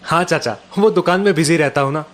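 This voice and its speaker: A man voicing a cocky, crafty young antique dealer in a cartoon story, confident and smoothly polite. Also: a little high, brisk, close to an everyday voice. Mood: pleased, chatty.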